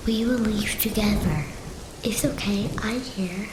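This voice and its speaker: A woman playing a gentle young girl in a radio play, calming a frightened horse in slow, tender whispers. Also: mid-range voice, background noise, close to an everyday voice. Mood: soothing, hushed. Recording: roomy echo, loud rain and horse sounds.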